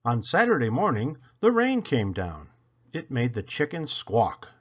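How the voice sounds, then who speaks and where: A man reading a children's picture book aloud, expressively and with dramatic pauses. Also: medium pitch, huge pitch swings, fairly bright tone, dull audio. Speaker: read-aloud storyteller.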